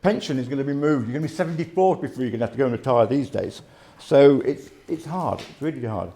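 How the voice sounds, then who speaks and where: A man in his fifties speaks to a live audience in a candid, earnest way. His voice is mellow and sits at an ordinary pitch. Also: lively intonation, fast talker, breathy edge. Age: 50s. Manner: candid, plain-spoken, earnest. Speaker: speaker sharing lived experience. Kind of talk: personal testimony at a live talk. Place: live talk before an audience.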